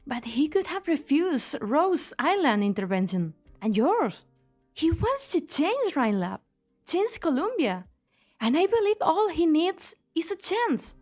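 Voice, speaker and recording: A woman's voice: sits high, very animated, unhurried, darkish tone, soft background music. A woman in an amateur fan dub playing an earnest, idealistic young female scientist. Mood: earnest, defensive.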